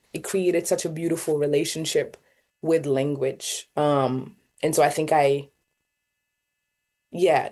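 Young sudanese woman, arabic first language, talks slow and searching with lots of ums, pretty low voice. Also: a little mellow. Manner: thoughtful, many fillers and restarts. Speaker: guest poet and artist. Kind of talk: reflective interview talk.

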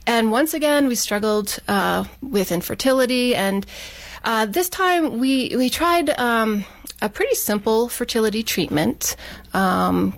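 A Canadian woman in her thirties, a radio guest telling her family story in a lively way and acting out her own thoughts. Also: a little high, a little slow, creaky, no room sound. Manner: lively, animated retelling with quoted thoughts. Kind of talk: interview storytelling.

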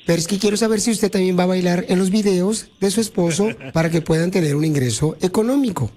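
A man's voice: high-pitched, fast, dark tone. A man with a Mexican Spanish accent hosting a radio prank call, teasing the woman on the line while putting on a stiff formal tone. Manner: joking, teasing, feigns a formal caller voice. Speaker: radio prank show host. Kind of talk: prank phone call with studio banter. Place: radio studio.

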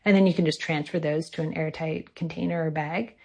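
A woman with a gravelly, slightly low voice speaks as a food expert. She gives casual advice in a conversational way, her tone husky and full of fry. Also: steady tone, brisk, darkish tone. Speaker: food expert answering callers.

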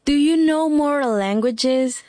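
A woman's voice: high voice, slow, darkish tone, dry recording, studio quality. A woman co-hosting and interviewing on a student podcast, enthusiastic, with short questions. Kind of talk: scripted presenting and interviewing. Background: music.

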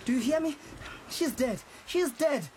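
A man's voice: sits high, normal speed, close to an everyday voice. An actor playing a controlling, scornful man who mocks and laughs at his partner. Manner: mocking, laughs at her. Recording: noisy background.

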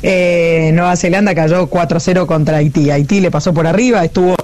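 A young woman with an Argentine accent reports from the field in a loose, chatty way, laughing easily as she tells a story. She stays animated and friendly throughout. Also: lowish voice, brisk. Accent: Argentine Spanish. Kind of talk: casual live report.

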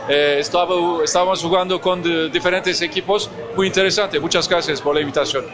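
A man with a Turkish accent answers an interview slowly and haltingly, stopping often to search for words. His voice sits fairly high for a man. A busy crowd murmurs behind him at an outdoor event. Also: fairly even intonation. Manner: halting non-native phrasing with many pauses. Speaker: ambassador guest.

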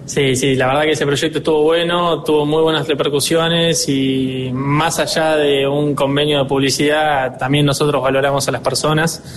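A man in his twenties with an Argentine accent, answering interview questions as a dealership director, earnest and upbeat, often pausing on fillers. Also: fairly high pitch, little pitch movement, a little hiss or hum. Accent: Argentine Spanish. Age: 20s.

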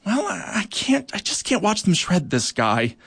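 A man voicing a soft-hearted young female worker ant who blurts out her worries and pleads. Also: fairly high pitch, sing-song, fairly quick, slightly rough, no echo, pitched above the performer's own voice, heavily acted. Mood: pleading.

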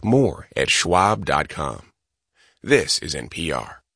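A man's voice: a bass voice, sing-song, slow pace, vocal fry, a bit bright, breathy edge, no room sound, clean studio sound. A man with an American accent reading a scripted sponsorship announcement for radio.

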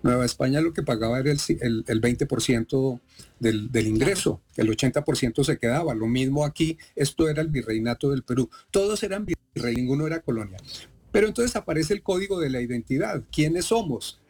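A man's voice: medium pitch, animated, brisk, darkish tone, slightly rough. A middle-aged man from Colombia with a Bogotá accent, a doctor explaining at length and with emphasis as an interview guest. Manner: lecturing, emphatic, digressive.

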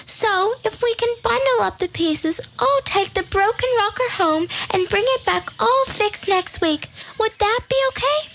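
A woman's voice: very high-pitched, expressive, measured pace, hardly any pauses, fairly bright tone, voice pushed up, heavily acted. A woman voicing a polite, earnest, chatty little girl in a children's story.

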